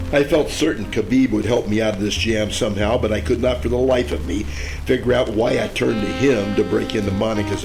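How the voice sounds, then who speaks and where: A man playing a world-weary, wisecracking private detective in a deadpan old-time radio noir comedy. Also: fairly low pitch, close to an everyday voice, low-quality recording. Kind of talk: first-person noir radio narration and comedy acting.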